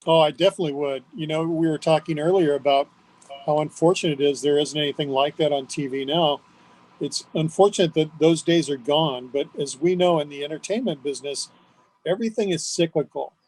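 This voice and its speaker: A man's voice: on the high side, fairly quick, darkish tone, rough recording. An enthusiastic man reminiscing conversationally as a guest in an interview. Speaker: guest on a radio history show.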